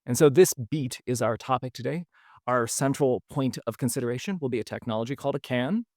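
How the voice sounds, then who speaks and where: A man hosting a podcast, telling a history story in a relaxed, conversational way, with frequent fillers. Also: mid-range voice, brisk, dry recording, studio quality. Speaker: podcast host. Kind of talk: casual storytelling narration.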